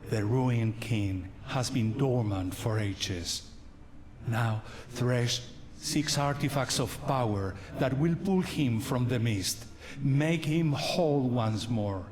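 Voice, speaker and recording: An actor playing a solemn guide who recounts dark legends in a grave, formal and deliberate way for a fantasy game. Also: male voice, mid-range voice, lightly acted. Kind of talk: dramatic fantasy game narration. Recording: long reverb, music behind.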